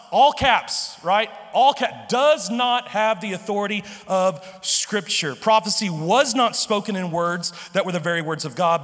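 Male pastor, thirties, high voice, emphatic conversational preaching, handheld mic, long reverb from a big church hall. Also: fairly bright tone. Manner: emphatic, conversational, repeats words, frequent "okay?" and "right?". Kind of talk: sermon. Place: large church stage with purple lights.

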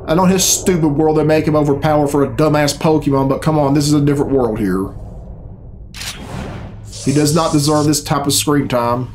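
A man's voice: fairly high pitch, steady tone, a little fast, darkish tone. A man in his thirties giving casual, profane and sardonic reaction commentary in a small room. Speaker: reaction video host. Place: small bedroom, indoors. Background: video game cutscene music.

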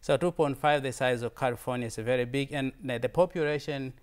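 A man's voice: mid-range voice, brisk, smooth. A man in his thirties from Tanzania, with a Tanzanian accent and Swahili as his first language, gives an informal slide presentation in an earnest way, often repeating words and restarting. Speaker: visiting fellow presenter.

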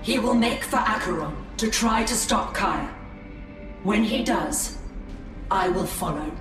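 A woman playing a proud, calculating alien seer in a video game, speaking formally and calmly. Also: medium pitch, a little slow, close to an everyday voice. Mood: calm resolve. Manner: formal, stately. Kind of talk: video game cutscene acting. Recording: very echoey, with music behind.

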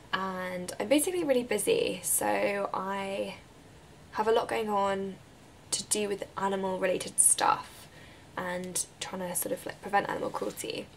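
A woman in her twenties with a croaky voice from a recent illness, chatting casually as she answers viewer questions to camera in her bedroom. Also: medium pitch, slight background noise. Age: 20s. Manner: chatty, relaxed, drifts into breathy whispers. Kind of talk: casual Q&A vlog. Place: bedroom, indoors. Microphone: camera mic at close range.

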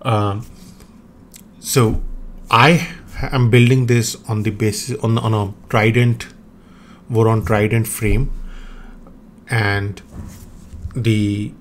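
A man's voice: lowish voice, little pitch movement, takes it slowly, pauses often, a little hiss or hum. A man whose first language is Hindi, explaining his own 3D printer tool changer design in a screen-recorded walkthrough, hesitant with many ums and restarts.

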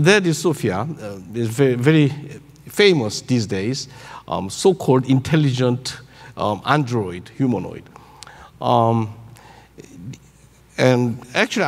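A man in his sixties with a Korean accent, giving a conference keynote in halting English, searching for words. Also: medium pitch. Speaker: keynote speaker, robotics researcher. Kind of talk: conference presentation. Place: large conference hall on stage. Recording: echoey hall through PA.

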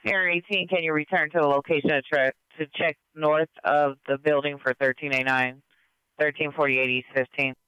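A man's voice: on the high side, fairly even intonation, normal speed, clean tone, dry recording, lo-fi sound. A man dispatching police units in procedural language over a scanner radio.

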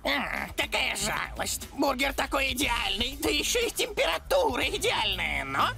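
A woman's voice: high-pitched, very animated, normal speed, vocal fry, bright, forceful tone, heavily acted. A woman voicing a gluttonous, theatrical villain boss in a playful, exaggerated cartoon style. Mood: wistful, smug. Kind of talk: animated cartoon dub acting. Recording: music behind the voice.

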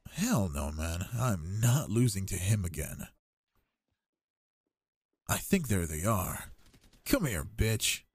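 A man's voice: sits low, dry recording, clean studio sound, heavily acted. A young man playing an affectionate, cocky gamer boyfriend in an intimate ASMR roleplay, with slangy, teasing talk.

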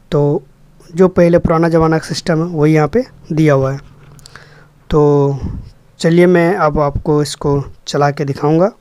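A man's voice: on the high side, mellow, smooth, no echo. Young man, dry sound. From Jharkhand, India. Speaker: electronics repair vlogger. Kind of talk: casual hands-on demonstration narration.

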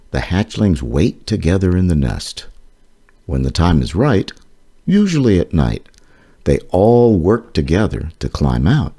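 A man reads an educational book aloud to children in a deep, mellow voice. His delivery is deliberate and explanatory, with lively rises and falls in pitch across the phrases.

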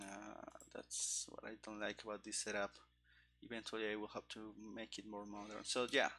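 A man in his thirties giving casual, halting live coding commentary into a headset mic, musing to himself as he works. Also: on the low side, gravelly, noisy background. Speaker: streamer, game developer. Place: indoor room at a computer. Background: keyboard typing and clicking.

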